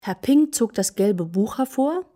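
A woman's voice: fairly high pitch, animated, a little slow, dark tone. A woman narrating a children's radio play in a scripted storytelling style.

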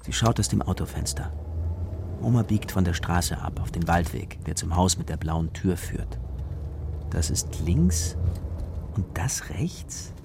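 A man narrating a children's radio play as a calm, watchful storyteller in short, measured sentences. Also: deep voice, vocal fry, whispered, heavily acted.

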